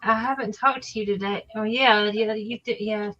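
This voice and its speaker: A woman in her forties in casual chat on a live stream, easygoing and quick to laugh things off. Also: medium pitch, fairly even intonation, sharp tone, lo-fi sound. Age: 40s. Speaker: guest.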